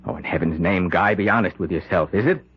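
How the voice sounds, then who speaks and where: A man's voice: sits low, quick pace, slightly rough, lo-fi sound. An actor playing a dying man possessed by a killer's spirit, speaking urgently and commandingly in an old-time radio drama. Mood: insistent, probing.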